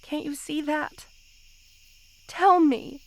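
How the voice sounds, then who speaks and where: A woman's voice: high-pitched, fairly even intonation, heavily acted. A woman playing a possessive, lovesick snake queen in an intimate roleplay ASMR, speaking tenderly with sighs. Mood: desperate, insistent. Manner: slow, tender, sighing, possessive delivery.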